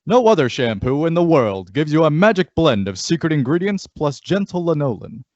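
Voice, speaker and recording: Animated male radio announcer, highish voice. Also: moderate pace, no room sound. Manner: emphatic sales pitch. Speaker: commercial announcer. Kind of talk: old-time radio advertisement read. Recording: dull, lo-fi audio.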